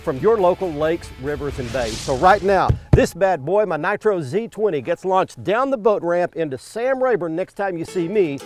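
Enthusiastic man hosting from a bass boat. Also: animated, moderate pace, few pauses, faint music behind. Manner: folksy, enthusiastic, explains while fishing. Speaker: fishing show host. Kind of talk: outdoor show presenting. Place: on a bass boat on a lake, outdoors.

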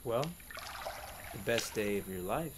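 Gentle young adventurer, water noise behind. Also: male voice, lowish voice, lively intonation, measured pace. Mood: gentle, reassuring. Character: gentle, easygoing young adventurer, reassuring companion. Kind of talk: animated film dialogue. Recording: film scene with water noise.

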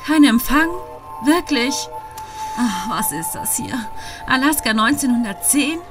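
A woman's voice: a little high, lively intonation, measured pace, over music. An actress playing an irritable, sarcastic woman driver in a horror audio drama. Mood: exasperated, sarcastic. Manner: sarcastic, exasperated. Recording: clear rain and car noise behind.

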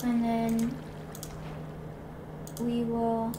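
A woman's voice: a little high, completely flat delivery, drawn-out words. A woman hosting a gaming video, chatting and thinking aloud as she plays. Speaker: gaming channel host. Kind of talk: Minecraft let's play commentary. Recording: echoey room, distant mic, loud noise.

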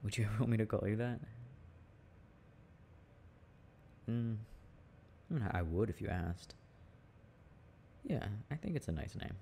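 A man playing a teasing, easygoing boyfriend in a casual, intimate ASMR roleplay, talking in a halting, musing way. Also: lowish voice, expressive, vocal fry, warm tone, slight background noise. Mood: relaxed musing. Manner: halting, musing, chuckling delivery. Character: teasing, easygoing boyfriend chatting with his partner.

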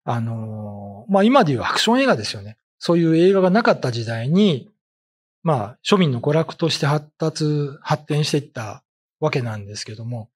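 A middle-aged man with a slightly husky voice, giving informal explanatory commentary in halting, conversational phrases. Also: mid-range voice, big pitch swings. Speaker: ghost story writer and commentator. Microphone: desk condenser mic with pop filter.

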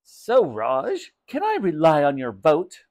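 Pushy storybook mum, played by a man, pompous and insistent, raised pitch with lively swings. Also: slow pace, exaggerated voice. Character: pushy, self-important mother standing for election.

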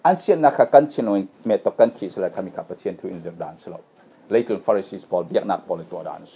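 A middle-aged man from Myanmar, preaching a sermon in an impassioned, emphatic way, swinging between loud and quiet. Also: mid-range voice, slightly rough, lo-fi sound. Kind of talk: sermon to a congregation.

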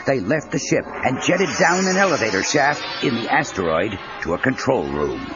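A man's voice: mid-range voice, sing-song, moderate pace. A man narrating a children's adventure story in a scripted, dramatic style. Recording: dull cassette with music underneath. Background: background music.

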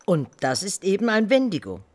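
A woman's voice: mid-range voice, huge pitch swings, moderate pace, darkish tone. An actress in an audio drama playing a sturdy, firm and formal policewoman.

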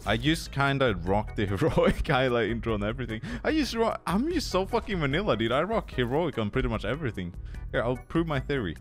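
A man's voice: medium pitch, big pitch swings, fairly quick, faint music behind. A young man with a Swedish accent giving goofy, casual commentary while opening loot boxes, tired and swearing freely. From Sweden. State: tired from no sleep. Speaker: gaming YouTuber.

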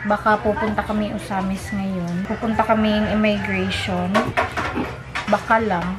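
A woman's voice: medium pitch, very noisy. A young adult woman with a Filipino accent, chatting casually as a vlogger. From Philippines. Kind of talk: casual vlog chat. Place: home kitchen, indoors, later out travelling.

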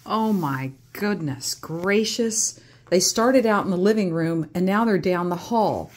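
A middle-aged woman helping a child read in a casual lesson, with expressive exclamations and coaxing, encouraging questions. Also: fairly low pitch, a little slow. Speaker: reading tutor coaching a child. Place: indoors at a home dining table.

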